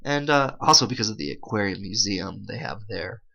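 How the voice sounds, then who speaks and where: A middle-aged man doing a casual, halting interview voice-over with informal, slangy phrasing, as if interpreting live. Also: lowish voice, expressive, creaky, sharp tone, dull audio.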